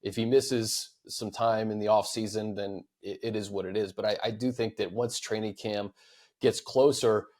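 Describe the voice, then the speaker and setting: An American man hosting a sports podcast, discussing the game casually and giving his opinions in a measured way. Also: lowish voice, fairly even intonation, darkish tone.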